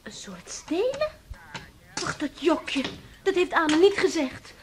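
Little girl, super high, eager and scolding, noisy old radio play. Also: very animated, takes it slowly, full character voice. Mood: chiding. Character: young foster daughter, eager, protective, chiding girl. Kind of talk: 1960s radio drama acting. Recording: noisy 1960s radio recording.

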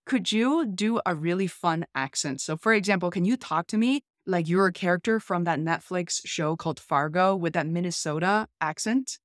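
A woman's voice: on the low side, normal speed, hardly any pauses, no echo. Female video host, 30s to 40s, conversational demo delivery, dry home recording. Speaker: YouTube host. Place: small home room, indoors.

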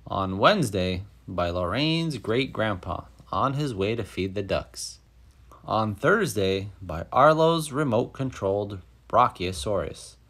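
Animated man reading a children's story. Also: on the low side, unhurried, breathy edge. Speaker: storyteller reading a picture book aloud. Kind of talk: expressive children's read-aloud narration.

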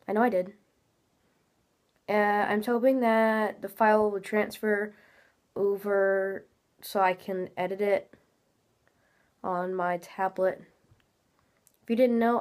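A teenage girl chatting casually while playing a game, a little nasal from congestion, talking from a small room at home into a webcam. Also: mid-range voice. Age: teens. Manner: chatty, rambling, many fillers. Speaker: gaming channel host. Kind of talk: casual gameplay commentary.

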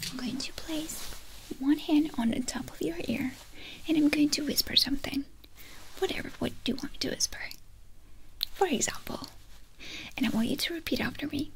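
A young woman performing an ASMR medical exam roleplay as a doctor, giving short, gentle instructions in a soft whisper, very close to the mic. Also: high voice, moderate pace, creaky, pauses often, rough recording. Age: young adult. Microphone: close ASMR microphones.

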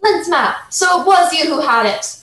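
A woman's voice: very high voice, animated, normal speed, a bit bright, echoey room. A woman playing a villainous male pirate mariner in an amateur radio play, with taunting rustic speech. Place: indoors, plain room, remote recording.

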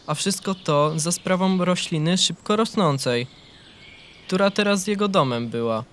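A man reading a scripted children's story as narrator, in an expressive literary storytelling manner. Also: high voice, measured pace.

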